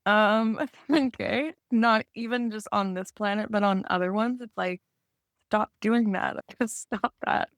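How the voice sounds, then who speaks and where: A woman co-hosting a podcast, speaking at a middle pitch in a casual, reflective chat. She laughs easily mid-sentence. The sound is dry and close, with very little room. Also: measured pace, dry recording. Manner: laughs easily while talking. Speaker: podcast co-host.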